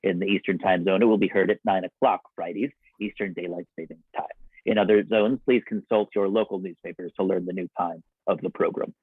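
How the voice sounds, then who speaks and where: A man announcing and narrating from a script in old-time radio style, with a theatrical, deliberate delivery. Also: low-pitched, fairly even intonation, slightly rough, no echo. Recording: dull, band-limited radio broadcast sound.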